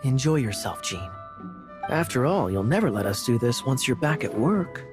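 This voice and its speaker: Man doing a teasing knight captain, easygoing, very animated pitch, faint music. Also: moderate pace, natural voice. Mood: playful. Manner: easygoing, playful. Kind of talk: video game voice acting.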